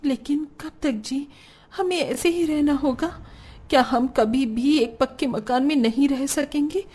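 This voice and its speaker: A woman's voice: sits high, a little slow, darkish tone. A woman voicing a poor, weary, lamenting family woman in a melodramatic Hindi cartoon story. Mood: anguished. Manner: plaintive, melodramatic.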